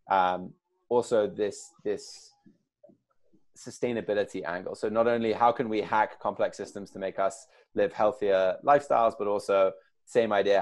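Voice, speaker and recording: A man in his late twenties with an English accent, a startup co-founder answering interview questions in a measured way with fillers and restarts. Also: fairly low pitch. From England. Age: 20s.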